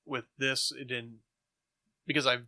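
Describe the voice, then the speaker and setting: A man's voice: medium pitch, flat delivery, unhurried, sharp tone. A man co-hosting a podcast, talking casually and informally. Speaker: podcast co-host. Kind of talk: casual discussion.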